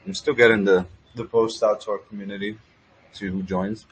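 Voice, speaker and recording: A man's voice: fairly low pitch, steady tone, normal speed, a little hiss or hum, low-quality recording. A man hosting a casual live audio chat, laid-back and joking with slang. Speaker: host.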